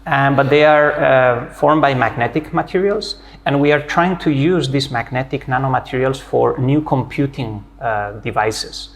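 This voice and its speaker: A man's voice: mid-range voice, normal speed, few pauses. Spanish-accented man explaining his research. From Spain. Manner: friendly, explanatory, with frequent "uh" hesitations. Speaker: physics professor, interview guest. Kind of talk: academic interview conversation.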